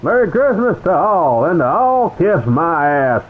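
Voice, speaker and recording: An actor playing a loud, mocking, drunken man calling out holiday greetings in a comedy audio drama. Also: on the high side, very animated, measured pace. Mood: mocking, rowdy. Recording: thin phone-like, band-limited sound.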